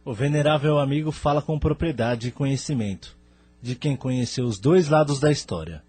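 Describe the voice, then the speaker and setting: A man's voice: medium pitch, normal speed, darkish tone, slightly rough, no echo, lightly acted. A man with a Brazilian accent playing a venerable, resolute spirit leader in an audio drama, grave and formal in manner. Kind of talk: scripted audio drama dialogue.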